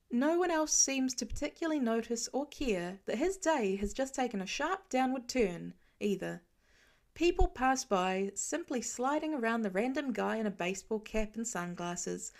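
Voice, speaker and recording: A woman narrating a fan fiction story aloud with expressive storytelling. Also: fairly high pitch, moderate pace, creaky. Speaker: podfic reader.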